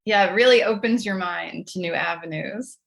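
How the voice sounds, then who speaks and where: A woman's voice: medium pitch, moderate pace, creaky, a bit bright. A middle-aged woman telling chatty, self-deprecating anecdotes as a guest author in a conversational interview.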